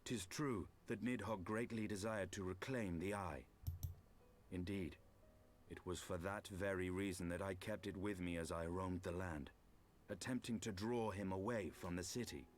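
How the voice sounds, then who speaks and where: Deep brooding dragon-slayer knight played by a man. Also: moderate pace, a little hiss or hum, close to an everyday voice. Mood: firm. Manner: grave, formal archaic diction. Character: brooding, stern lone dragon-slaying knight, blunt and grim. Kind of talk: fantasy game voice acting.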